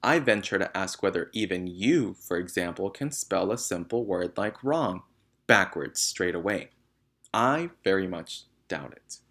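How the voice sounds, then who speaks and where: A gentle schoolteacher woman in a storybook, read by a man. She speaks in a measured, polite way, earnest and quietly firm. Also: low voice, lively intonation, exaggerated voice. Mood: firm protest. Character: gentle but firm young female schoolteacher.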